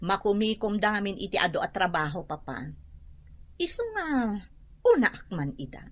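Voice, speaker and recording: A woman's voice: medium pitch, sing-song, moderate pace, lightly acted. A woman playing a haughty, touchy wealthy employer in an audio drama, scolding and scornful. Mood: smug, disdainful. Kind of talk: melodramatic audio drama acting.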